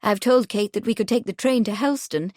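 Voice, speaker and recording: Woman reads eager upper-class young woman, brisk and insistent, storybook, dry booth. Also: medium pitch, a little mellow, no room sound, lightly acted. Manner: brisk, emphatic, persuasive.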